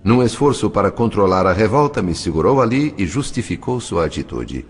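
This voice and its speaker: Deep, expressive male audiobook narrator. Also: husky. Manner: measured, literary, expressive. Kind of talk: dramatic scripted audiobook narration.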